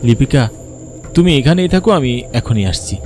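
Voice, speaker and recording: Horror audio story: male actor as haunted male psychiatrist, dark side showing, dramatic and brooding, average male pitch. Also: lively intonation, moderate pace, faint music behind, lightly acted. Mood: commanding.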